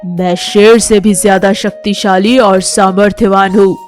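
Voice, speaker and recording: A pompous male elephant, voiced by a woman, speaking slowly and weightily at a medium pitch for a woman. He is boastful and bossy, in a children's story with soft music behind. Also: fairly even intonation, close to an everyday voice. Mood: boastful, indignant. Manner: pompous, demanding. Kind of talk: animated children's animal fable.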